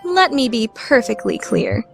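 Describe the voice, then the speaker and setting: A woman's voice: fairly high pitch, sing-song, a little slow, creaky, soft background music, heavily acted. A woman playing a proud, easily flustered rich teenage girl in an anime fandub radio play. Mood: haughty denial. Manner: haughty, formal, easily flustered.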